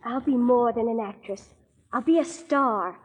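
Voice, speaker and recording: An actress in an old radio drama playing a spoiled, dreamy, petulant young daughter. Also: female voice, on the high side, a little slow, a little hiss or hum, lightly acted. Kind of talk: 1940s radio acting. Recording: muffled 1940s radio recording.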